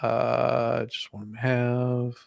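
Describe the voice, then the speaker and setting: A man's voice: medium pitch, monotone, drawn-out words, creaky, dry recording, clean studio sound. A man hosting a stream in casual, jovial, chatty talk. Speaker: stream host. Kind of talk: casual chat.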